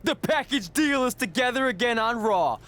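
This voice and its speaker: An actor playing a cocky but earnest young pro wrestler in a video game cutscene, wry and boastful. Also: male voice, very high-pitched, expressive, normal speed, fairly bright tone, exaggerated voice. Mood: excited, boastful.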